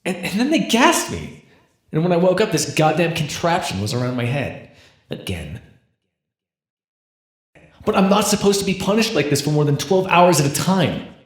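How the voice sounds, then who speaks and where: Man acting a chatty supervillain, animated and husky. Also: a little high, normal speed, long pauses, heavily acted. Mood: pleading, aggrieved. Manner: theatrical, rambling, addressing the listener directly. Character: imprisoned minor supervillain with mind control, chatty, scheming, smug. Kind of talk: ASMR roleplay monologue.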